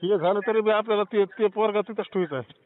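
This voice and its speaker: A man's voice: high-pitched, quick pace. A man from chats casually on a phone call, often repeating words of agreement. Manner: repetitive, agreeing interjections. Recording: tinny, phone-like call recording.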